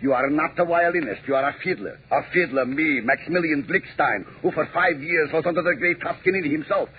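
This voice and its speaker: An actor playing a touchy, excitable violinist who complains and stammers in an old radio drama. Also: male voice, fairly high pitch, fairly quick, slight background noise, natural voice. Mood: indignant. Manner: indignant complaining, stammers when scared. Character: excitable, fussy male orchestra violinist. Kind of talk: 1930s radio drama acting. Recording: tinny old radio broadcast.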